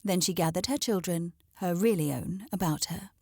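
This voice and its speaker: A woman's voice: fairly low pitch, moderate pace, creaky, dry recording, clean studio sound. A woman narrating a children's storybook aloud as a scripted read-aloud.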